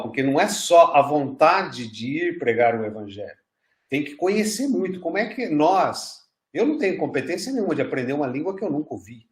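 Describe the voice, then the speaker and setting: A man's voice: mid-range voice, echoey room. A man, a pastor teaching a church class in a conversational, storytelling way with frequent restarts.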